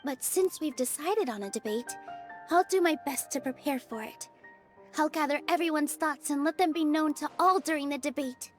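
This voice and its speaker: A woman's voice: high voice, moderate pace, faint music behind, close to an everyday voice. A woman voicing a young, sweet dancer who speaks politely, earnestly and modestly. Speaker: theater dancer. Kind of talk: game voice acting.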